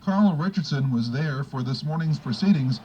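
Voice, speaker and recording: A man's voice: a little high, expressive, normal speed, darkish tone, a little hiss or hum, low-quality recording. A man reading a scripted radio news bulletin as anchor.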